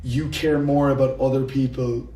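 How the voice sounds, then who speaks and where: A man's voice: medium pitch, level tone, mellow. A man co-hosting a podcast, telling stories in casual chat and quoting people as he goes. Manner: storytelling, quoting others with quick laughs. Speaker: podcast co-host. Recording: echoey room, mic at a distance.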